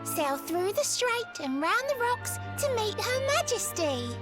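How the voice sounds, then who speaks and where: A lovelorn game mermaid, with an extremely high, girlish pitch and an unhurried, lilting delivery in rhyme. She is sweet, wistful and devoted, and loud music plays behind her. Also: very animated, full character voice. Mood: sweet, cheerful. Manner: speaks theatrically in rhyming verse. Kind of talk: video game voice acting.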